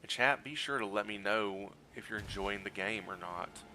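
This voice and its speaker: A man streaming a video game while sick, hoarse and scratchy, talking through his play in a relaxed, explanatory way and greeting his chat. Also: normal speed. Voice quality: scratchy, low energy. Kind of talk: casual gameplay walkthrough commentary.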